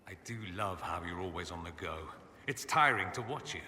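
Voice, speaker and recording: An actor playing a witty, flamboyant and sardonic mage in a fantasy game, with an arch, teasing delivery. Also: male voice, deep voice, expressive, brisk, pushed and bright, big-room sound, slight background noise, exaggerated voice. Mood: playful, arch. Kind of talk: scripted fantasy game dialogue.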